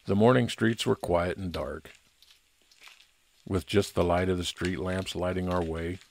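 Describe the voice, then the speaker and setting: Deep, gravelly man narrating detective fiction. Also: steady tone, unhurried, slight background noise. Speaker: narrator playing a private investigator. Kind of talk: scripted first-person narration, old-time radio detective style.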